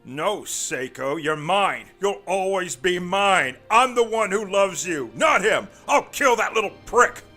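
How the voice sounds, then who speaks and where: A man's voice: high voice, lively intonation, measured pace, few pauses, pitched above the performer's own voice, exaggerated voice. A man voicing a corrupt police detective, a desperate, possessive lover who pleads intensely, over an audio drama's music. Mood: furious, frantic. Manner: pleading and intense, swinging from hushed to shouting.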